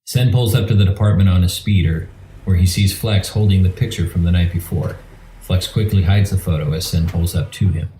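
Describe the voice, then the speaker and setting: Very deep male narrator in a roomy space. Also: fairly quick, mellow, slight background noise. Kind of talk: scripted narration reading scene directions. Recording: roomy echo.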